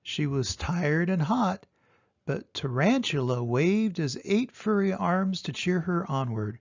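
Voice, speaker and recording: An older man reading a children's story aloud with expressive, dramatic emphasis. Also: high voice, slow pace, dry recording, lo-fi sound. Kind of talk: animated children's storybook narration.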